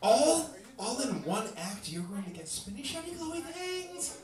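A man's voice: very high voice, big pitch swings, measured pace, noisy background. A man hosting a live stage show as emcee, with playful, theatrical, crowd-rousing banter. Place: small indoor lounge theater stage. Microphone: handheld stage mic, filmed from audience. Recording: roomy, distant microphone, loud live crowd.

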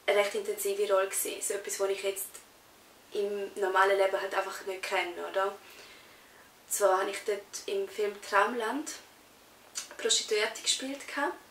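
A woman's voice: mid-range voice, a bit bright. Young Swiss German woman, roomy distant sound. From Switzerland. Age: young adult. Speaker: interviewee, actress. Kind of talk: casual interview answers. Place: small indoor room, on a sofa. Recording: roomy echo, distant mic, faint hiss.

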